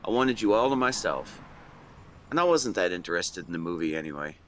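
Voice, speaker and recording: Man playing devoted young male best friend with a crush, lively swooping intonation, teasing, tender, romantic audio roleplay. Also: sits low, fairly quick, slight background noise. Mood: fond, tender. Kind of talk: scripted romantic audio roleplay, speaking to the listener.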